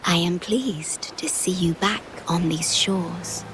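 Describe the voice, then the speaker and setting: An actress playing a serene, devoted guardian woman in a video game, speaking softly and solemnly. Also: on the low side, steady tone, slow, background noise. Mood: tender, solemn. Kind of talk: scripted game character acting. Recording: music behind the voice.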